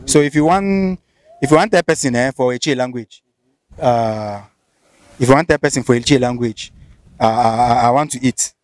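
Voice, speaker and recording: A man's voice: mid-range voice, moderate pace, no room sound, studio quality. A man with a Nigerian Pidgin accent interviewing someone, asking probing questions and repeating them. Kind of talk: casual street interview.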